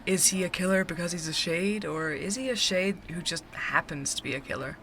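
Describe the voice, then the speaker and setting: An actress playing a feisty, outspoken young barista in an audio drama, speaking in a casual, bantering way. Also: female voice, lowish voice, brisk, a bit bright, slight background noise. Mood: casual, musing. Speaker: head barista being interviewed.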